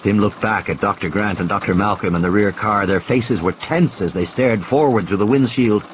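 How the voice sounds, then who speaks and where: A man's voice: fairly low pitch, normal speed, slight background noise, rough recording. A man reading a thriller audiobook as a dramatic scripted narration. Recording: clear rain and storm effects behind. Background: rain, vehicle and ambience sound effects.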